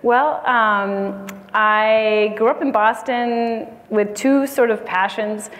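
A woman's voice: fairly high pitch, slow, fairly bright tone. A woman in her thirties from the United States, a medical writer chatting as a guest on a live podcast, with frequent laughs and fillers. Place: conference stage before an audience. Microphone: lapel mic. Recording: long hall reverb.

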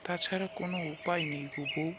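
A man's voice: sits high, steady tone, quick pace, background noise, lightly acted. A man playing a worried, humble village husband in a cartoon, earnest and pleading. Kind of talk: Bengali cartoon character voice acting.

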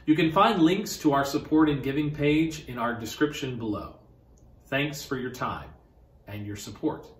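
A man's voice: mid-range voice, animated, moderate pace. A middle-aged man presenting a scripted announcement in an echoey room. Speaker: theatre presenter. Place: indoor office or lobby.

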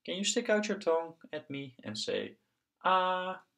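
Man doing a kids book puppet doctor, reassuring n gentle, really animated up and down. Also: high-pitched, measured pace, creaky, pitched above the performer's own voice, heavily acted. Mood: instructing. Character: friendly, reassuring puppet doctor in a children's storybook.

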